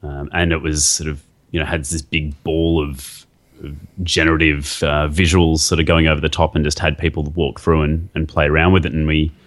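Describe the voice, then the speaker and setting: A man's voice: extremely low pitch, little pitch movement, normal speed. A man interviewed as a guest artist, talking casually and hesitantly, with many fillers and restarts.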